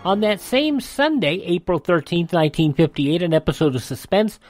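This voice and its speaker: A man's voice: sits high, sing-song, moderate pace, soft background music. A man hosting a classic radio program, reading ads and station notes in a folksy, chatty way. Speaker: radio host. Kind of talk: casual host patter and live-read ads.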